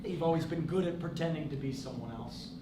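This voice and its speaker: A man's voice: on the high side, brisk, far from the mic, lightly acted. A man playing a wry, needling brother. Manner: dry, needling banter. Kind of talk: stage play acting. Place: theatre stage. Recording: roomy stage recording from afar with noise.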